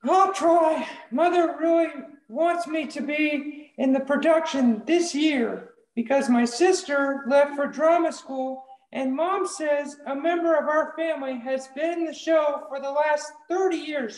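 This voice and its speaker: A performer playing a nervous young man with a very high voice, jittery and anxious, on a close webcam in a room with a ceiling fan. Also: measured pace, hardly any pauses, a little mellow. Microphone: webcam close to face. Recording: roomy echo.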